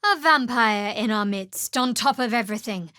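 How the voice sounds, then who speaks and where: Fantasy game role: wry, guarded young priestess of a dark goddess, lightly high voice, cool delivery, very dry recording. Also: female voice, normal speed, no echo. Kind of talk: fantasy video game voice acting.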